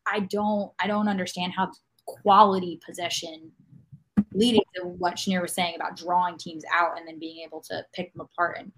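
A woman's voice: on the low side, little pitch movement, normal speed, a bit bright, slight background noise, poor audio. A woman panelist in a casual sports discussion, analytical and articulate, speaking in long winding sentences. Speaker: podcast panelist.

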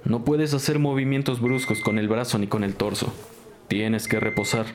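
An actor playing a firm, cautious hospital doctor in an audio drama, giving matter-of-fact instructions. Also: male voice, medium pitch, level tone, moderate pace, lightly acted. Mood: firm, cautioning. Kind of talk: radio drama acting. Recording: beeping hospital monitors, clear background noise.

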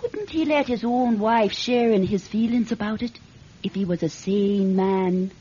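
A woman's voice: medium pitch, a little slow, mellow, breathy edge. An actress playing an exasperated, forthright village woman, speaking emphatically in a radio drama. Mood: indignant. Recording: dull, noisy radio-drama recording.

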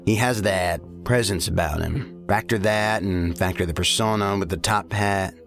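An actor playing a blunt, stubborn special agent in an audio drama. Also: male voice, sits low, moderate pace, gravelly, a bit bright. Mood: pensive, puzzled. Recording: faint music behind the voice.

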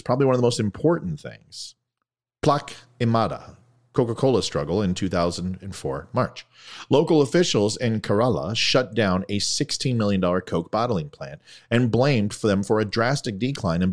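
Man, lowish voice, reads facts aloud, throws in wry asides, trips on foreign names. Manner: jokey, stumbles over foreign names. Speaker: commentary video host. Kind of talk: reading facts with offhand asides.

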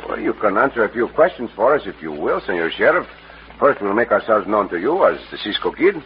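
A man's voice: on the low side, big pitch swings, brisk, slightly rough, full character voice. A man playing a gallant, confident cowboy adventurer with a Mexican accent and courteous, formal speech in an old radio western. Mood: earnest, determined. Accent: Mexican Spanish. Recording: muffled, noisy vintage radio recording.

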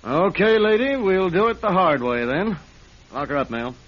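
A man's voice: high-pitched, big pitch swings, moderate pace. An actor playing a stern male police detective pressing a suspect in an old radio drama. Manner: hard, pressing interrogator. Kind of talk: 1940s radio acting. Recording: dull hissy old radio recording.

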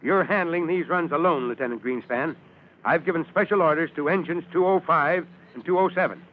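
A man's voice: fairly high pitch, fairly quick, close to an everyday voice. A man playing a terse, determined fire chief who speaks with authority in an old-time radio drama. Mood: firm, assured. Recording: muffled, faintly noisy vintage radio recording.

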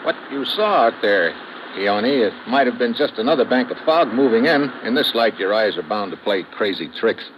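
A man's voice: on the low side, animated, normal speed, background noise, lightly acted. A man playing a tough American government agent, speaking tersely in a hardboiled old radio drama. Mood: composed. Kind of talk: 1950s radio drama narration and acting.

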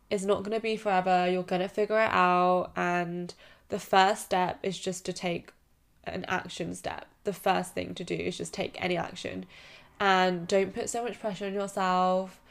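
A woman's voice: medium pitch, vocal fry, smooth. A woman in her twenties with a stuffy nose, hosting a casual solo podcast and giving chatty, encouraging advice full of "like" fillers. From United Kingdom. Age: 20s. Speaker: podcast host.

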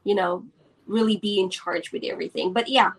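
A woman's voice: mid-range voice, fairly quick, creaky, husky, low-quality recording. A woman from the Philippines, a business owner being interviewed, hesitant with many fillers and restarts, over a video call. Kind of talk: casual interview.

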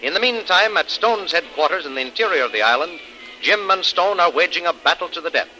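A man's voice: fairly high pitch, expressive, fairly quick, sharp tone, soft background music, low-quality recording. A man announcing a radio serial in an emphatic, theatrical, scripted 1930s style.

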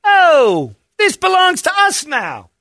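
Man putting on a squeaky shouty greedy explorer guy, high and bright, sound real dry. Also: huge pitch swings, takes it slowly, no room sound, heavily acted. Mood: greedy glee. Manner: shrill, shouty, mocking. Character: greedy arrogant male explorer, grabbing land.

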